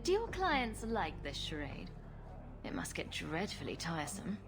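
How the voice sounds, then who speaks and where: Wry, lively rogue heroine over music. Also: female voice, fairly low pitch, unhurried, full character voice. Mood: wry, teasing. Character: wry, sarcastic female rogue adventurer, brisk and commanding. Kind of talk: video game voice acting. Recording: game audio with music behind.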